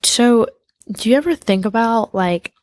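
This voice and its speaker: A woman's voice: fairly high pitch, lively intonation, measured pace, no echo. A woman hosting a podcast, asking long rambling questions in a casual, chatty way. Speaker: podcast host. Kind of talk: casual conversational interview.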